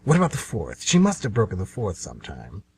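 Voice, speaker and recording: An actor playing the Devil as a scheming, irritable, sardonic dealmaker in an amateur radio comedy. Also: male voice, lowish voice, wildly swooping pitch, brisk, vocal fry, a little hiss or hum, heavily acted. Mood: gloating, grumbling. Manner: sardonic, insinuating, grumbling.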